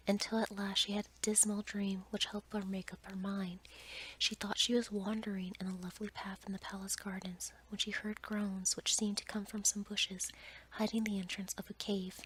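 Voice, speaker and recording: A woman narrating a bedtime story as ASMR in a breathy whisper. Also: medium pitch, fairly bright tone, slight background noise, low-quality recording.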